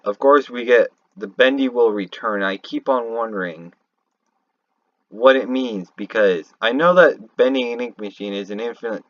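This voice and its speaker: A man giving unscripted, rambling fan commentary on a video game, thinking aloud haltingly and often correcting himself. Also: mid-range voice. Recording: roomy, dull lo-fi home recording.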